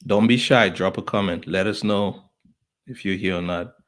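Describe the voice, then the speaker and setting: Chatty lower-voiced podcast host. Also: male voice, moderate pace, fairly bright tone. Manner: chatty, friendly, lots of fillers; greets viewers by name. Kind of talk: casual live-stream welcome.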